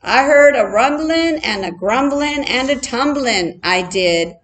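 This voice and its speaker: A woman voicing an excitable female prairie chicken in a storybook, urgent, folksy and sing-song as she sounds the alarm. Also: high-pitched, takes it slowly, slight background noise, heavily acted.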